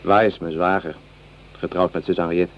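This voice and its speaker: An actor playing a rueful, well-off young volunteer soldier in a radio play, confiding in an earnest, reflective way. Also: male voice, low-pitched, level tone, moderate pace, lightly acted. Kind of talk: Dutch radio play acting. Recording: dull, noisy old radio recording.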